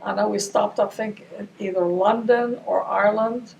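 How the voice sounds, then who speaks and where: A woman's voice: mid-range voice, steady tone, moderate pace, gravelly, darkish tone, dull audio. An older woman from Ramallah whose first language is Arabic, recalling her childhood in an oral history interview. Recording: distant mic with faint noise.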